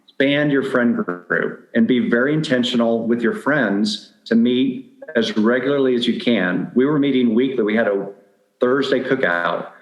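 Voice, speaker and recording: A man's voice: medium pitch, moderate pace. A man in his forties, a podcast guest, giving dating advice in a conversational style. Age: 40s. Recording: echoey, roomy sound.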